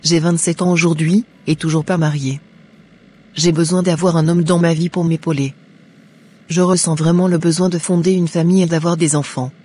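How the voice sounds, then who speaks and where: Earnest unmarried daughter in her twenties, played by a woman: husky, devout, formal cartoon delivery. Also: fairly low pitch, little pitch movement, moderate pace, a little mellow. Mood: sad, worried. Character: devout, anxious 27-year-old unmarried daughter. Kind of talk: scripted cartoon dialogue.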